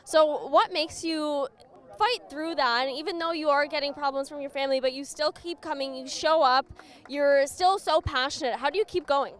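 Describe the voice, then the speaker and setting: A woman reporter asking direct, probing questions and making a pitch to camera. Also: normal speed. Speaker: independent reporter.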